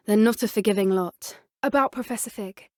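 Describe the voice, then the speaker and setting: A woman's voice: mid-range voice, a little fast, darkish tone, no echo, clean studio sound, lightly acted. A woman voicing an earnest, plucky teenage witch in a video game, with a British accent and a polite, slightly old-fashioned way of talking. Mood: earnest, thoughtful. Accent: British English. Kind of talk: scripted game character dialogue.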